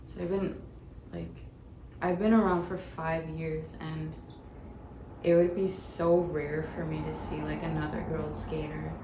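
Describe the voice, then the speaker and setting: A woman's voice: sits low, warm tone. A woman in her twenties speaking in a laid-back way about skating. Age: 20s. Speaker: skater. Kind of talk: casual interview answers. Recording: echoey room with background noise.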